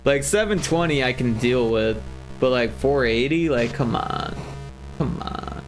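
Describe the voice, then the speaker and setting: A man's voice: mid-range voice, lively intonation, a little slow, faint music behind, rough recording. A man in his forties, a game developer chatting casually on a livestream, rambling with lots of fillers and restarts. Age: 40s.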